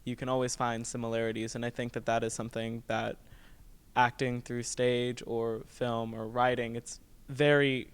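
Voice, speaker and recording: A young man in his late teens talks as a student actor in an interview. His mid-pitched voice is clean and very even in intonation, and his manner is informal and earnest. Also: moderate pace, smooth. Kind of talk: casual earnest interview answer.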